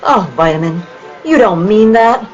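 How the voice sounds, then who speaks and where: A woman playing a bright, eager young sweetheart in an old-time style radio play. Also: mid-range voice, expressive, a little slow, lo-fi sound, soft background music, natural voice. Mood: teasing.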